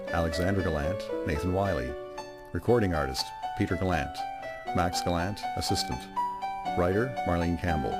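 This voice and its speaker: Low-voiced male narrator over loud music. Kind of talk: scripted storytelling narration for a radio drama. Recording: music prominent behind the voice.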